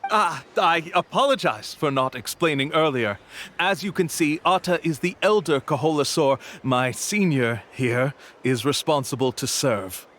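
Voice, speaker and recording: Polite, higher-pitched young guide. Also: male voice, unhurried, fairly bright tone, a little hiss or hum. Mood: earnest, explaining. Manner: courteous, formal explanatory patter. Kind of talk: video game voice acting.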